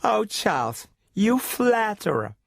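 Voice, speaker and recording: A man voicing a prim, snappish old aunt in a radio story, with a thin falsetto and a genteel, fussy, precise manner. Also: big pitch swings, slow, vocal fry, no echo, studio quality, exaggerated voice. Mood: coyly pleased. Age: older.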